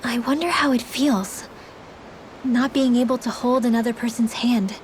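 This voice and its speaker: A woman playing a soft-hearted, troubled teenage girl in a fantasy video game, hesitant and introspective. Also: a little high, moderate pace, a little hiss or hum, lightly acted. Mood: hesitant, wondering. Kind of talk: video game cutscene dubbing.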